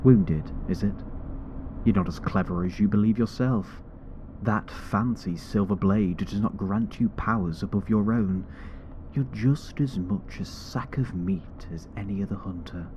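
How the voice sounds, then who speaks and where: A man playing a deep, slow, haughty monster hunter. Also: warm tone, lightly acted. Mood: cold, taunting. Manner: slow, formal, condescending. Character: menacing, haughty male rival monster hunter. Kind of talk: fantasy audio roleplay acting. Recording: dull sound with background noise.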